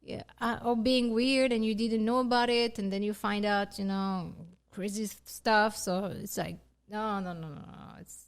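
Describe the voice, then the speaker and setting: A woman's voice: medium pitch, steady tone, normal speed, hardly any pauses, smooth. A woman from Morocco co-hosting a casual podcast chat, laughing often and restarting her sentences. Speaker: podcast co-host.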